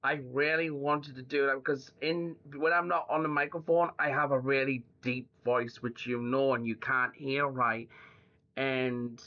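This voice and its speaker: A man's voice: medium pitch, fairly even intonation, unhurried. A young man hosting a podcast, chatting casually and rambling with lots of fillers. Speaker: podcast host. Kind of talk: casual chat. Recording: dull lo-fi audio.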